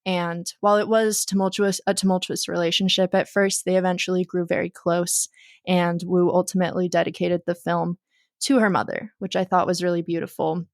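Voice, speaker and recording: A woman's voice: on the low side, level tone, fairly quick, no echo, clean studio sound. A young woman co-hosting a film podcast, chatting casually with many ums. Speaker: podcast co-host.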